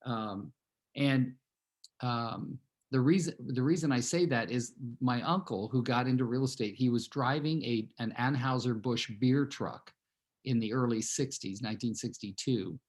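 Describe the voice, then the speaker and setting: A man in his sixties from California, speaking at a middling pitch as he tells his team a long personal story over a video call. He rambles through anecdotes with plenty of fillers and restarts, and keeps turning to speak straight to his listeners. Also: unhurried, a little mellow. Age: 60s. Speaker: real estate team leader addressing his team.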